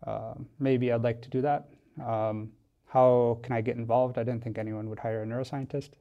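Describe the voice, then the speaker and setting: A man's voice: mid-range voice, little pitch movement, moderate pace, highs cut off. An American man speaking as an interview guest in a studio, explaining things thoughtfully with a hesitant delivery. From United States, Wisconsin. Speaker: podcast guest, tech company founder. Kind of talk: casual interview talk.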